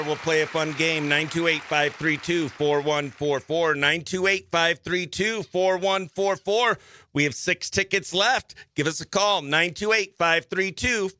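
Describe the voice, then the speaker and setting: A man's voice: fairly high pitch, pushed and bright, dry recording. A man hosting a live morning radio show, chatting exuberantly and slangily as he runs giveaways and phone-in games.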